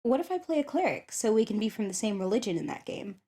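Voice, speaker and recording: Woman playing mellow, chatty, eager schoolgirl. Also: a little high, very animated, fast. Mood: playful, eager. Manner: chatty, hesitant, thinking aloud. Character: shy, eager popular schoolgirl curious about tabletop games. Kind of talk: ASMR roleplay, one-sided conversation.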